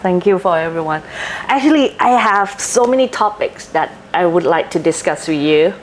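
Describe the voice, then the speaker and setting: A woman's voice: on the low side, expressive, moderate pace, a little hiss or hum. A woman with a Thai accent hosting a casual interview in a polite, effusive way. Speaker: host.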